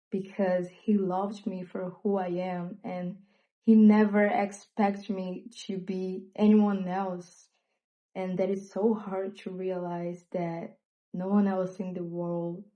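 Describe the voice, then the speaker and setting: A young woman with a Brazilian accent, native in Portuguese, talking openly and reflectively in a personal vlog, with frequent fillers and pauses. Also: medium pitch, muffled recording. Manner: reflective, hesitant with many fillers. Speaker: vlogger. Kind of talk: candid personal monologue.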